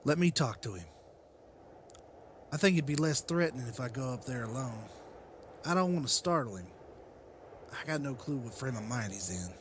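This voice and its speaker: A man's voice: mid-range voice, lively intonation, moderate pace, pauses often, a little hiss or hum, natural voice. A man playing an earnest, persuasive leader of apocalypse survivors in a dramatized comic. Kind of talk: dramatized comic-book voice acting.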